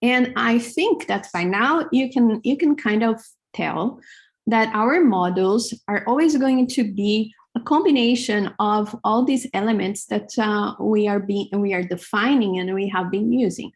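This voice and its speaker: Slow-paced woman lecturer, Hebrew accent. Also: medium pitch. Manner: halting, many fillers, laughs often. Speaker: university lecturer. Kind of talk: classroom lecture. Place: large lecture hall.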